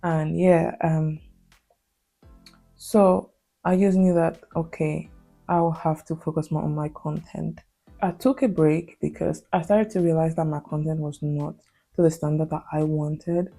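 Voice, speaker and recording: Spanish-accented woman, low creaky voice. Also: fairly even intonation, unhurried, dark tone, faint music behind. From Spain. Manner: hesitant, heavy on fillers and restarts. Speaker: vlogger. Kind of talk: confessional casual vlog talk.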